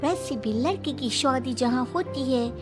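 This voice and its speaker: A woman's voice: high voice, animated, a little slow, music in the background. A woman voicing a sweet, childlike and easily upset young daughter-in-law in a Hindi cartoon story. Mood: sweet, earnest.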